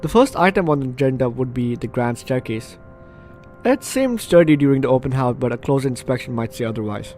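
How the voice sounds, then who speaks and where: A man's voice: medium pitch, animated, a little fast, darkish tone. A man hosting a let's play, reading a visual novel aloud and breaking off into chatty, reactive asides. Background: faint game music.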